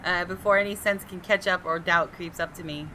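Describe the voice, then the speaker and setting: A woman's voice: fairly low pitch, fairly even intonation, fairly quick, bright, forceful tone, slight background noise, rough recording. A woman gaming host reads a visual novel aloud with suspenseful expression and slips into casual commentary. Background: game music.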